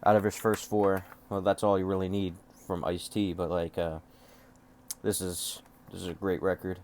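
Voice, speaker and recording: A man's voice: deep voice, level tone, normal speed, a little mellow, a little hiss or hum. A man talking casually and offhandedly as a collector showing off his things, rambling and swearing freely. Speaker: music collector showing off cassette tapes.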